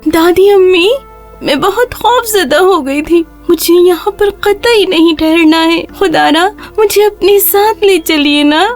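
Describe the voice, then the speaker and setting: A woman's voice: very high-pitched, soft background music. A woman voicing an innocent, poor little girl in a children's cartoon story, speaking in a polite, plaintive childlike way. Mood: frightened, pleading.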